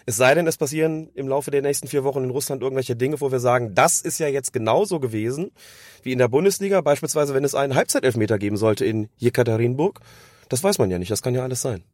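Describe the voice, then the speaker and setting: A man, hoarse from a cold, giving long analytical explanations as a podcast co-host. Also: medium pitch, fast, a little mellow, dry recording, clean studio sound. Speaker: podcast co-host discussing refereeing. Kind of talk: casual podcast chat.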